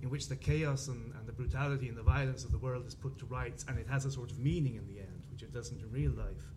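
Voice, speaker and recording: Thoughtful Dublin man over clear background noise. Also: mid-range voice, fast talker. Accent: Dublin Irish. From Dublin, Ireland. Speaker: panellist, crime writer. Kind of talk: discursive panel talk.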